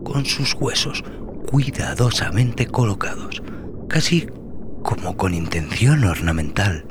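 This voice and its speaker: A man narrating dramatic scripted horror as a podcast host, brooding, theatrical and deliberate in his delivery. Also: on the low side, animated, background noise. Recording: loud music under the voice.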